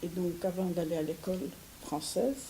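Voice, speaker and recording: An older woman from Syria giving an interview, speaking hesitantly with many restarts and soft laughs. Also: lowish voice, steady tone, normal speed, a little hiss or hum, low-quality recording. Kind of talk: recorded interview.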